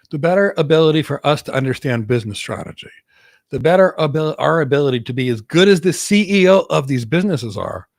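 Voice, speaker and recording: Man, American accent, from Greece, career advice host, emphatic repetition, raspy gravelly tone. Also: medium pitch, no room sound, clean studio sound. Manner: emphatic, repeats words for stress. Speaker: host, cloud architect. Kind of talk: answering viewer questions, career advice.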